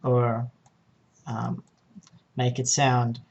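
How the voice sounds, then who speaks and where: Man, middle-pitched voice, flat intonation, informal tutorial narration, hesitant step-by-step explaining. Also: extremely slow, dull audio, slight background noise. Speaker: tutorial presenter.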